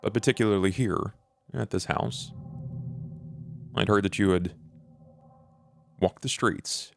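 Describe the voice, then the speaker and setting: A man voicing a composed, world-weary male vampire with measured, formal phrasing in a tabletop game. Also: on the low side, vocal fry, music in the background. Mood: composed, evasive.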